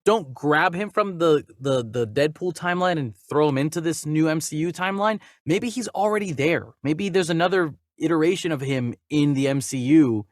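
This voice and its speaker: Male podcast host, jokey casual chat, very lively intonation, dry close sound. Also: on the high side, moderate pace, few pauses, no room sound. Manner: jokey, animated, stumbles over names when reading. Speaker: podcast host reading and discussing movie news. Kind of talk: casual chat with read-out news items.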